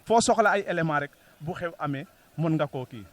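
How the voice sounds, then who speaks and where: Senegalese male interviewee, local official, pitch a little above average, code-switching as he answers. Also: lively intonation, fairly quick, a bit bright. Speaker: Red Cross local secretary-general, interviewee. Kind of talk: interview answers mixing Wolof and French.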